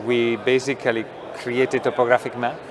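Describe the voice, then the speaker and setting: A middle-aged man, a company founder explaining technology in an interview, thoughtful with many pauses and fillers. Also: medium pitch, level tone, a crowd in the background. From Italy. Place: trade show booth studio.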